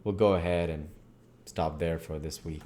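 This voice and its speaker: A man's voice: extremely low pitch, steady tone, moderate pace, darkish tone. A man reading as a storybook narrator and host, folksy, with occasional stumbles. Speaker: reader and channel host. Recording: audible background noise.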